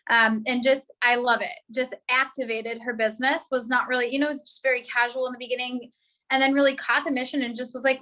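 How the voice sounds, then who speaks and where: A woman on a team video call, giving upbeat, celebratory shout-outs to colleagues. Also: a little high. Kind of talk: casual video-call speech.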